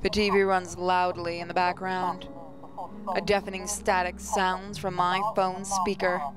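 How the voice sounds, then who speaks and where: A woman's voice: lowish voice, little pitch movement, unhurried, music in the background. A woman gaming host reads a visual novel aloud as dramatic, emotive first-person narration.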